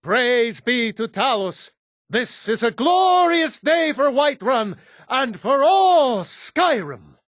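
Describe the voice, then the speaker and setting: An actor playing a fervent Nord preacher in a fantasy video game, declaiming with bombastic, drawn-out oratory. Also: male voice, very high-pitched, big pitch swings, fairly bright tone, no echo, clean studio sound, heavily acted. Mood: exultant, rapturous. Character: fervent Nord preacher zealously devoted to his god Talos.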